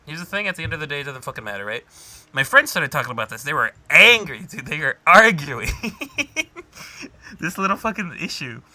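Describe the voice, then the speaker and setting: A man's voice: a little high, big pitch swings, normal speed, bright, forceful tone. A young adult man co-hosting a podcast in casual, argumentative banter, swearing freely as he talks. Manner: profane, argumentative. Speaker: podcast co-host.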